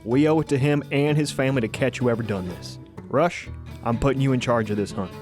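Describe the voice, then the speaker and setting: An actor playing an authoritative, folksy county sheriff in a radio drama. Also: male voice, mid-range voice, fairly quick, over music, natural voice. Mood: solemn, commanding. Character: commanding county sheriff leading a posse in mining country.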